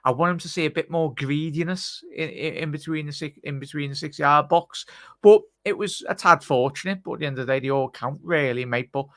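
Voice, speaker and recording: A man's voice: on the high side, brisk, a bit bright, no room sound, studio quality. A man hosting a casual live football reaction stream on a video call, chatty and calling everyone mate. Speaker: host.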